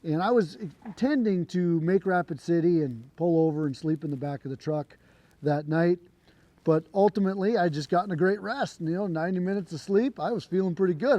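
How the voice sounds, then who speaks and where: A man tells a story to camera in a relaxed, rambling way, full of fillers and restarts. His voice is hoarse from a cold and sits a bit high, yet it stays mellow. The recording is close and dry. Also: brisk, no room sound, dull audio. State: hoarse, voice not recovered after a cold. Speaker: trick shooter and channel host. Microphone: camera mic.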